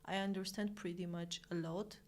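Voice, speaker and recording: A young woman from Armenia speaking English with an Armenian accent, a guest chatting casually in an interview, halting often with fillers and restarts. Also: on the low side, steady tone.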